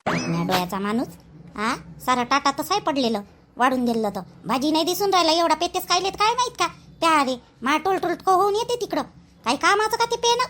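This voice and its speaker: Woman as a quick-tongued, bitter village wife. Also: high-pitched, sing-song, a bit bright, slight background noise. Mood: bitter, grumbling. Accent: Vidarbha Marathi. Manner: muttering, sardonic complaining. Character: bitter village wife, grumbling at drunken husband. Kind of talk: cartoon voice acting.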